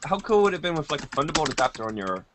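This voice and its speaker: A man's voice: a little high, sing-song, racing, background noise. A man hosting a casual tech podcast, with a wry, lightly sarcastic way of talking. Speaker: podcast host.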